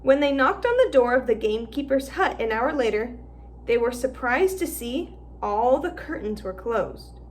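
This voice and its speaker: A woman teacher reading a children's novel aloud, clear and expressive. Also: high-pitched, normal speed, slight background noise. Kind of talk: storybook read-aloud narration.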